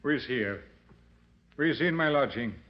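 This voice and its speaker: A man's voice: medium pitch, lively intonation, moderate pace, noisy background, close to an everyday voice. A man playing a solemn old mystic, dull vintage recording. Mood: stern, indignant. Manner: formal, grandiose, stilted phrasing. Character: solemn old Indian swami mystic with mind-reading powers. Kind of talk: 1940s radio mystery acting.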